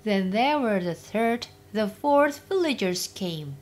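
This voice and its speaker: A woman narrating a children's fairytale as storyteller, expressive and deliberate, with faint noise. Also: mid-range voice.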